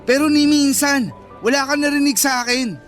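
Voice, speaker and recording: A very high-voiced, fed-up teenage boyfriend in a Filipino radio drama. He argues emphatically and scolds, exasperated yet protective, with faint music underneath. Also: moderate pace, fairly bright tone. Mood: irritated, defensive.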